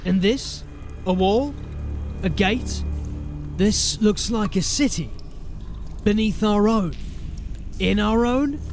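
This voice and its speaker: A man acting a gruff, authoritative sergeant of a city watch in a fantasy audio drama, with clipped speech. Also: high voice, slow pace, long pauses, soft background music. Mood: puzzled.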